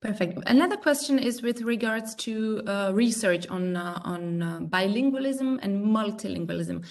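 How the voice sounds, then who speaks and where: A woman's voice: mid-range voice, moderate pace, darkish tone. Hesitant woman moderator reading questions. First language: Czech. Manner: hesitant, many fillers.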